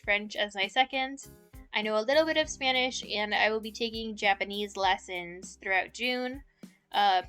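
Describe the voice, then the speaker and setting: Young woman streamer, slow chill chat, bright tone, giggly. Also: medium pitch. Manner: relaxed, giggly, slow halting Spanish practice. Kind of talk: casual chat with viewers.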